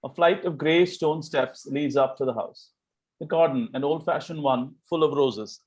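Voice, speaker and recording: A man's voice: fairly high pitch, normal speed, darkish tone. A man reading out scene-setting stage directions as narrator for a play table read.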